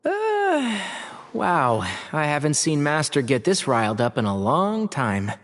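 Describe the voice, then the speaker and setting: A man's voice: a little high, wildly swooping pitch, measured pace, a bit bright, a little hiss or hum, heavily acted. A man voicing an easygoing, deferential young scholar in a fantasy video game. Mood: wary. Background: background music.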